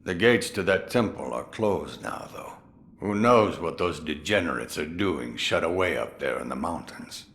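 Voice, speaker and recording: An actor playing a crafty, jovial old samurai sword master in a video game, musing theatrically with drawn-out amusement. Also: male voice, deep voice, expressive, a bit bright. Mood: grave, advisory. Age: older. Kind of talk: dramatic video game voice acting.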